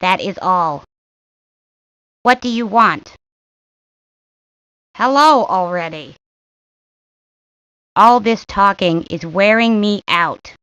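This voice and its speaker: A woman voicing a sullen, bored girl who talks in a reluctant deadpan for a cartoon game. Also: mid-range voice, slow pace, pauses often, clear, resonant tone, dry recording, rough recording. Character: sullen, bored, withdrawn girl of few words. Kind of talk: cartoon game character lines.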